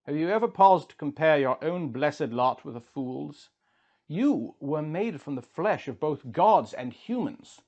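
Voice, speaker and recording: A man's voice: fairly high pitch, lively intonation, a little mellow. Man playing a solemn ancient sage, lo-fi sound. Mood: stern, admonishing. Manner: slow, grave, oratorical. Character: Utnapishtim, solemn ancient male immortal sage, admonishing. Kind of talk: dramatic audiobook reading of an ancient epic.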